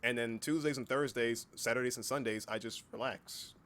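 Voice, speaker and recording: A man's voice: mid-range voice, steady tone, normal speed, fairly bright tone. A man hosting a casual livestream chat, speaking informally, with repeated words and occasional swearing. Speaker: livestream host and hobby voice actor.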